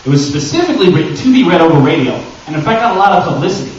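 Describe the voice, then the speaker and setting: A man's voice: fairly high pitch, very animated, rapid-fire. A man giving an informal, joking live panel talk to an audience, with theatrical rhetorical questions. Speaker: convention panel presenter. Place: large echoey indoor panel room. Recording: echoey room from far off, muffled, noisy.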